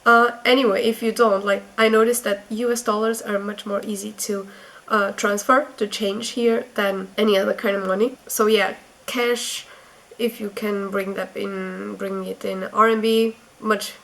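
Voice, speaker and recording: A woman's voice: mid-range voice, flat delivery, normal speed, fairly bright tone, rough recording. A young woman from Portugal with a Portuguese accent, giving chatty advice in a casual vlog, full of fillers. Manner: chatty, many fillers and restarts. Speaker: vlogger.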